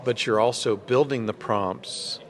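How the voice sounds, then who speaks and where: A man's voice: mid-range voice, fairly even intonation, a little slow. A man hosting an interview at a trade show, conversationally summing up his guest's points. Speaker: interviewer host. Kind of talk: conference booth interview. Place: busy trade show floor. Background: crowd chatter.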